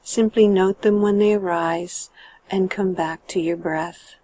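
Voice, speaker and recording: A woman guiding a meditation in a calm, measured and gentle manner, letting her sentence endings trail off. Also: medium pitch, a little hiss or hum. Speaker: meditation guide. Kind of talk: guided meditation narration.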